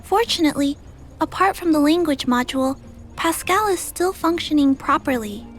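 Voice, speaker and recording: A woman playing a gentle, earnest little girl in a video game, speaking politely and carefully. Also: high-pitched, normal speed, soft background music, natural voice. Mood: earnest, thoughtful. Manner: polite, soft-hearted, careful.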